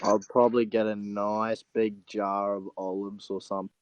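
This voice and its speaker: Young Australian male, laconic and casual. Monotone, lowish pitch, muffled lo-fi audio. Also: normal speed, clean tone. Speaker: band member guest. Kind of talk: casual chat. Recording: dull lo-fi call audio.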